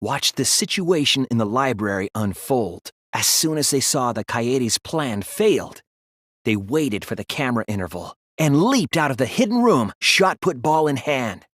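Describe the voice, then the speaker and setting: A man voicing an earnest, analytical teenage boy detective in an English video game dub, speaking in a deliberate, resolute way. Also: mid-range voice, big pitch swings, fairly bright tone, no echo, clean studio sound. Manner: deliberate, earnest, explanatory delivery.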